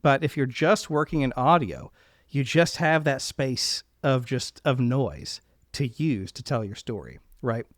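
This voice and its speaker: A man with a medium-pitched voice speaks as a podcast host. He is earnest and effusive as he introduces and chats in an interview. The sound is dry, with very little room in it. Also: lively intonation, unhurried, dry recording.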